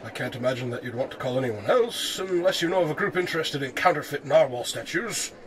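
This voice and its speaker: An actor playing an eccentric, inquisitive detective in a radio drama, articulate and dryly witty, with formal phrasing. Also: male voice, on the high side, animated, fast talker, a crowd in the background, close to an everyday voice. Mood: dry, wry.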